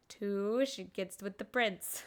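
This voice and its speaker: A woman's voice: medium pitch, drawn-out words. A woman live-streaming a game, commenting casually with wry, sarcastic asides. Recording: game music behind the voice. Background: video game music.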